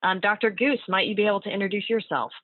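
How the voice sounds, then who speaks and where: A woman's voice: mid-range voice, fast, dry recording. Woman interviewer on a tinny call line. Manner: friendly, encouraging, with fillers. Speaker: interviewer, neurologist. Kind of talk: moderating a panel interview. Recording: tinny phone-like line, dry.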